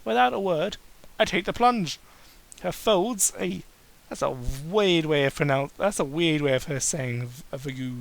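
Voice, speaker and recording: A man doing a mocking dramatic reading, breaking into exasperated, sarcastic, sweary asides. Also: high voice. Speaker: amateur reader and commentator.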